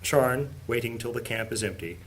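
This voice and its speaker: A man's voice: medium pitch, normal speed, lightly acted, rough recording. A man performing grave, theatrical narration as the storyteller of a fantasy audio drama. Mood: suspenseful. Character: solemn male fantasy storyteller. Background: music.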